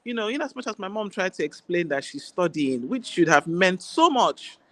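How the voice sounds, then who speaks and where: A woman with a Nigerian accent, an Igbo speaker, talking as a guest doctor in a relaxed interview, chatty and quick to laugh. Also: on the low side, no echo. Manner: warm, chatty, laughs easily. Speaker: guest, general practitioner. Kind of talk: casual interview chat.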